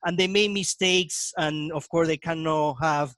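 A man's voice: fairly high pitch, fairly even intonation, unhurried, fairly bright tone, dry recording. A man, hosting a casual video-call interview, rambling and restarting his questions. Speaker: podcast host. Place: home basement room with brick wall. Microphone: headset mic.

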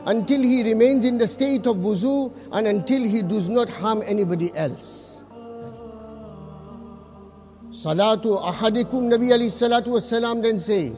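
A male imam delivers a Friday sermon in a high, light voice. He preaches earnestly, reciting scripture quickly and repeating phrases to drive a point home. Soft music sits faintly behind him.

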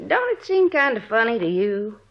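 An American actress playing a gossipy, plain-spoken middle-aged married neighbor in a 1950s radio drama. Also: female voice, a little high, sing-song, moderate pace. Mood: gossipy, suspicious. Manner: folksy, colloquial, gossipy. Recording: dull vintage radio recording.

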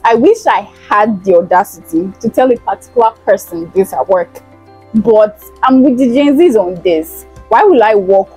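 A woman's voice: medium pitch, dark tone, breathy edge, rough recording. A young woman, hosting a chatty gossip vlog in a playful, teasing way on a lapel mic in an indoor studio. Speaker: host. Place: indoor studio, plain backdrop.

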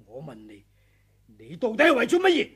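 An actor playing a ruthless male senior swordsman in a 1980s radio drama, taunting and menacing, breaking into shouts. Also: very high-pitched, wildly swooping pitch, moderate pace, exaggerated voice. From Hong Kong.

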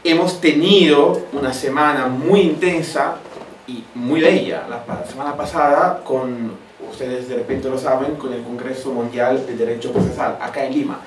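A man from Italy with an Italian accent, speaking Spanish as a second language, gives a formal, halting introduction as a professor. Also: mid-range voice. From Italy, Turin. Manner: halting, pausing between phrases. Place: wood-panelled university seminar room. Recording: roomy, distant, noisy lecture hall sound.

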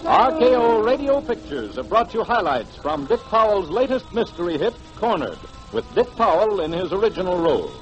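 A man announcing formally and emphatically in the style of 1940s radio promotion, with a husky voice. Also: sits high, big pitch swings, normal speed, few pauses, music in the background. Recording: dull, lo-fi 1940s radio broadcast.

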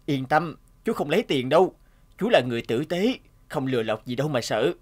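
A man's voice: medium pitch, very animated, fairly quick, slightly rough. Kind, cheerful cartoon repairman dad. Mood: friendly, reassuring. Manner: friendly, reassuring. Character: kind, cheerful young father who repairs bikes. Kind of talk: cartoon voice acting.

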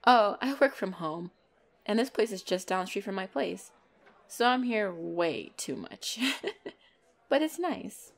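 A woman's voice: medium pitch, big pitch swings. A woman voicing a flirtatious, teasing female vampire in an intimate ASMR roleplay, playful and giggly with a hungry undertone. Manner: playful, giggly, slow and coy, dropping into breathy whispers. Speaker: roleplay voice performer. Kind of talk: ASMR roleplay, intimate one-sided dialogue.

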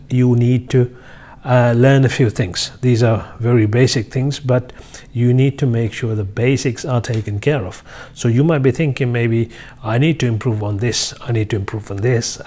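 Man in his thirties, from Sri Lanka, husky voice, mid pitch, encouraging teacher, lapel mic in office. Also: darkish tone. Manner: encouraging, repetitive, with many fillers and restarts. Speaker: English teacher. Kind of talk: casual teaching monologue to camera. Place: high-rise office by a window, indoors.

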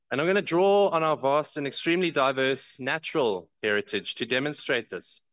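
Man, 30s, formal parliamentary speech at a desk mic, measured delivery. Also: medium pitch, a bit bright. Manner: measured, formal, reads from notes. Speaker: member of parliament giving a speech. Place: large parliamentary chamber, indoors. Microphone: desk microphone.

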